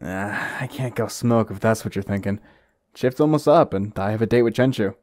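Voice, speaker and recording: A man's voice: lowish voice, normal speed, a little mellow, no echo, clean studio sound, lightly acted. A man voicing a bored young video store clerk, an aloof loner with a casual manner, in a visual novel game. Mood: casual, friendly.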